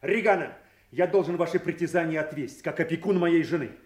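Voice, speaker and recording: A stern nobleman of classical tragedy, acted by a man, declaiming in a high-pitched voice. He speaks with authority and accusing indignation, and the roomy echo of an audio drama surrounds his words. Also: a little fast, a little mellow, close to an everyday voice. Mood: stern accusation.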